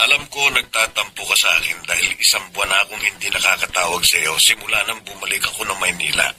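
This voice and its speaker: A man's voice: low-pitched, fast, background noise, lightly acted. A Filipino man playing a neglectful middle-aged husband in a dramatic Tagalog radio drama. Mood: cold, curt. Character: neglectful, cold, guilt-ridden husband and teacher. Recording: radio drama with music underneath.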